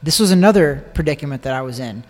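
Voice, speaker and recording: A man's voice: on the high side, expressive, fast talker. An American man telling a personal story in casual conversation, repeating phrases for emphasis. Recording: long echoing reverb.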